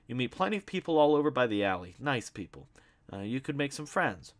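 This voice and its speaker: A man's voice: medium pitch, big pitch swings, fairly quick, voice pushed up, close to an everyday voice. A man doing a meek New patrolman. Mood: earnest. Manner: plain, earnest, apologetic. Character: meek, earnest young patrolman, apologetic.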